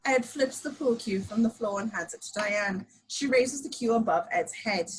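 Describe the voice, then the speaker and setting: A woman's voice: fairly high pitch, lively intonation, moderate pace, a little hiss or hum, poor audio. A woman reading scripted action description as narrator in an amateur table read of a screenplay.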